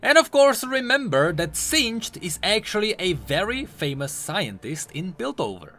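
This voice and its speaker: A man's voice: high voice, big pitch swings, measured pace, pushed and bright. A man hosting a scripted game lore commentary, with an emphatic, swooping delivery. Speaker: host of a game lore video channel. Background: background music.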